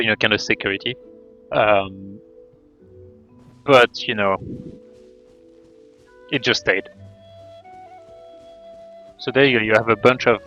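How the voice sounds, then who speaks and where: A man's voice: lowish voice, sharp tone, dull audio. A man talking casually as a game livestreamer.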